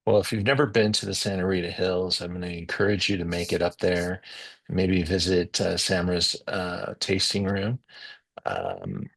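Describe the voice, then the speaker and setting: A man hosting a wine interview over a video call, enthusiastic and often pausing on fillers. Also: sits low, expressive, vocal fry, fairly bright tone, husky, dry recording. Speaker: wine show host.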